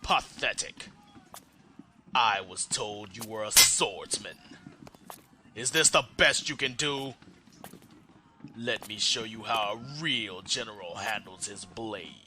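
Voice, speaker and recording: An actor playing a ruthless, gloating bandit king in an audio drama, with a mocking, drawn-out menace. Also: lowish voice. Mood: mocking, menacing. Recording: clearly noisy home recording with clicks.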